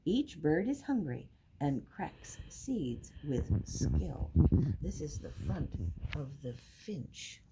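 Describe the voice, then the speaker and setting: Older woman reading aloud, lively pitch. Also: slow, vocal fry. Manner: simple explanatory asides, counting aloud. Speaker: teacher reading to young children. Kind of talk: children's picture book read-aloud with explanations. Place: indoor classroom with wooden furniture. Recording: noisy room recording with clicks.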